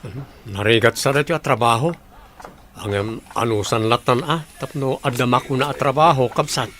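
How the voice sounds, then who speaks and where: An actor playing an easygoing, curious young construction worker in casual audio drama dialogue. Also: male voice, medium pitch, normal speed, fairly bright tone, a little hiss or hum, close to an everyday voice.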